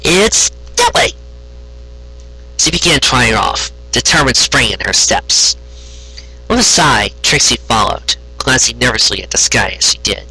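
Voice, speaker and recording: Man, story narrator, very sharp forceful tone, raspy edge, occasional stumbles. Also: mid-range voice, animated, moderate pace, faint music behind, low-quality recording. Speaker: amateur story reader. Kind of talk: scripted fan fiction narration with character voices.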